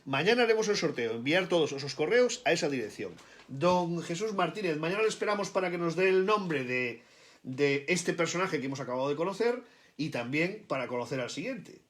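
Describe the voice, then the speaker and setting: A man with a Galician accent hosting a radio show, chatting briskly and often checking in with "vale?". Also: fairly high pitch, expressive. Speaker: radio host.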